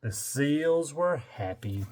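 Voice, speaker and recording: Older male storyteller, unhurried and highly animated, playful read-aloud with wry asides. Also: a little hiss or hum. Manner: playful, stretches words theatrically, drops into wry muttered asides. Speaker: grandfather reading a picture book aloud.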